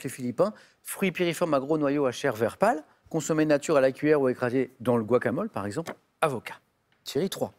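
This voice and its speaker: A man's voice: fairly high pitch, darkish tone. A man hosting a television quiz show in a studio, upbeat and chatty, reading clues with emphasis. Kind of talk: lively game show hosting.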